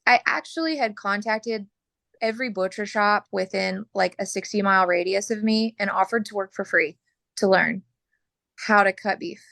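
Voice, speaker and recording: A woman from Oklahoma, a butcher, talking frankly as a podcast guest while losing her voice and clearing her throat. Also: medium pitch, normal speed, fairly bright tone, no echo. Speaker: butcher and mobile processor owner.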